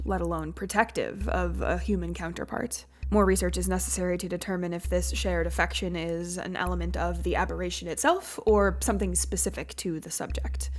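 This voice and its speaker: An actress playing a composed senior researcher in an audio drama, giving a formal, precise, detached case briefing. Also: female voice, on the low side. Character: composed, clinical female senior researcher leading case debriefs.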